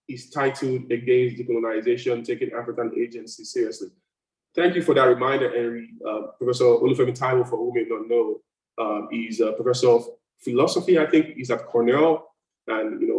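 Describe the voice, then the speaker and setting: A man moderating a discussion panel, speaking in a measured, hesitant way and reading out comments. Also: medium pitch, steady tone, darkish tone. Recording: roomy, far from the mic.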